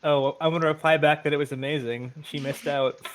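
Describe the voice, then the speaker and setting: A woman's voice: deep voice, fairly even intonation, a little fast, slight background noise, poor audio. A woman playing an online tabletop game, chatting casually and cheekily in character. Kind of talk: casual playful in-game chat.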